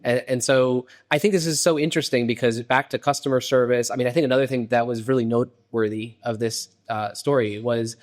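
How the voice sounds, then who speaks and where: A man hosting an interview podcast, chatty and conversational, often checking in with a quick "right?". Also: medium pitch, fairly even intonation. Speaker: podcast host.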